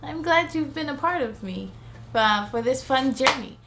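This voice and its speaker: A woman's voice: high voice, expressive, normal speed, noisy background. A woman hosting a children's story time in a sing-song way, talking directly to young children.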